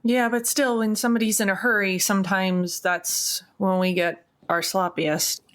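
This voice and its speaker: A woman's voice: mid-range voice, flat delivery, normal speed. A woman playing a tabletop game, talking casually with the others at the table.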